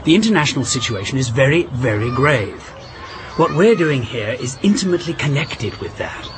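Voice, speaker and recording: An actor playing an urbane, persuasive English diplomat and husband in a radio drama. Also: male voice, mid-range voice, sing-song, normal speed, close to an everyday voice. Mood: coaxing, grave. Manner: urbane, old-fashioned, hesitant when coaxing. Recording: clear noise behind the voice.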